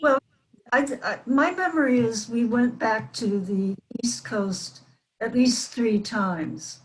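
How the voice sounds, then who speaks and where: A woman's voice: a little high, slow, a little hiss or hum, low-quality recording. An older woman, a former performer appearing as a panel guest, reminiscing casually and hesitantly.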